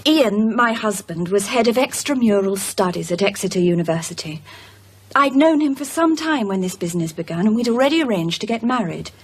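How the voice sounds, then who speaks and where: An actress playing a composed widow who recounts a troubling past in a measured, articulate way. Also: female voice, mid-range voice, expressive, husky. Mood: measured, serious. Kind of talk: naturalistic radio drama acting.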